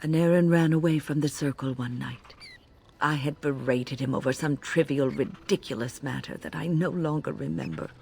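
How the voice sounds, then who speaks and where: An actress playing an older female mage mentor in a fantasy game, measured and confessional, full of grave regret and compassion. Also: low-pitched, gravelly, darkish tone. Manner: measured, reflective, confessional, emotive. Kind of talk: dramatic scripted game dialogue, storytelling.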